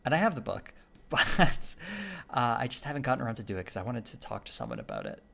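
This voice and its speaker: Male streamer, raspy, heavy gravel in the voice, loose rambling delivery. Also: medium pitch, huge pitch swings, quick pace, bright, forceful tone. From Canada. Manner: rambling, many fillers and restarts. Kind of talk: casual live-stream chat with viewers.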